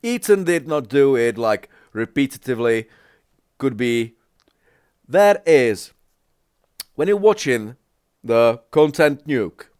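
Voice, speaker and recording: A man who is not a native English speaker, giving casual reaction commentary in a halting way, often searching for words and restarting. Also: mid-range voice, clean tone, no echo, clean studio sound. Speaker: reaction video creator.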